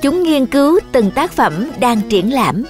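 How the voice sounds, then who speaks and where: A woman's voice: high voice, very animated, unhurried, darkish tone. A woman narrator reading a scripted children's story. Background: music.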